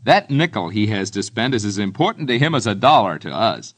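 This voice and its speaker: A man's voice: lowish voice, big pitch swings, a little fast. A man with an American accent reading an old-time radio commercial in a folksy, persuasive way. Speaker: commercial announcer.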